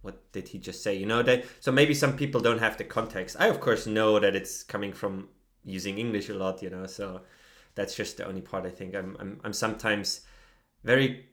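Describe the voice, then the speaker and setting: Low-voiced man chatting casually. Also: moderate pace. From Germany. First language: German. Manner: relaxed, peppers speech with "you know" and "like". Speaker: podcast co-host. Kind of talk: casual conversational podcast.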